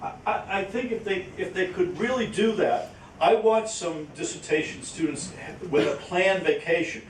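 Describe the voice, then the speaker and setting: A man speaking informally at a question-and-answer session, haltingly, repeating words as he explains with examples. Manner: halting, repeats words, illustrates with acted-out quotes. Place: echoey indoor conference room. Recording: roomy, distant mic, faint rumbling noise.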